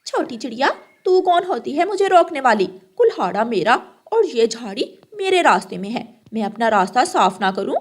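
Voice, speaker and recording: A woman's voice: a little high, big pitch swings, normal speed, hardly any pauses. A woman voicing a stubborn, spiteful mother crow in a children's cartoon, scolding and haughty. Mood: indignant. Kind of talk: Hindi moral cartoon character acting.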